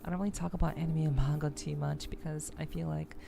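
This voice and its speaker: A woman's voice: sits low, flat delivery, fast talker, creaky, background noise. A woman streamer chatting casually to her live audience, thinking aloud and often repeating her words. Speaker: VTuber streamer. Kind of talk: casual livestream chat.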